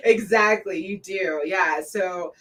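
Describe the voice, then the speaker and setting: A woman co-hosting a casual live stream, relaxed and loose with plenty of fillers, reading out viewer comments. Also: slow pace, far from the mic. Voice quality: says her own voice sounds deep to herself.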